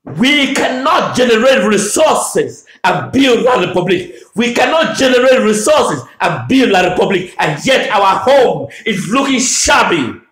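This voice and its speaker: A man from Anglophone Cameroon giving a fiery political address, shouting and repeating his demands in a declamatory way. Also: high-pitched, very animated, unhurried, few pauses. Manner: shouting, repetitive declamatory oratory. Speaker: political activist making a public address. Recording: echoey room.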